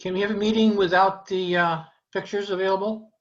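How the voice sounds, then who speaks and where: An American man chairing an online committee meeting in an informal, halting way, with muffled sound. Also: sits high, fairly even intonation. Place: remote video meeting.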